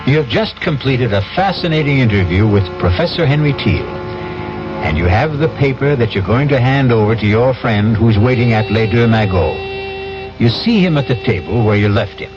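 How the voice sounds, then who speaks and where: A man playing an ominous narrator, deliberate and dramatic, giving scripted second-person narration in 1970s radio style. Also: medium pitch, lively intonation, lightly acted. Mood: ominous, knowing. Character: suave, ominous male narrator addressing the listener as hero. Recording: dull vintage radio, music behind.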